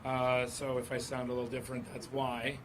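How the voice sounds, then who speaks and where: A hoarse man, a state senator, speaking at an outdoor press conference, stacking up a long list of grievances. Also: medium pitch, level tone, fairly quick, background noise. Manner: building lists of grievances.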